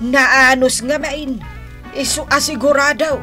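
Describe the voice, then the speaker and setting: An actress with an Ilocano accent playing a gossipy, excitable woman neighbor in a comedy radio drama. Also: sits high, takes it slowly, soft background music, heavily acted. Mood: nosy, excited. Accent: Filipino, Ilocano.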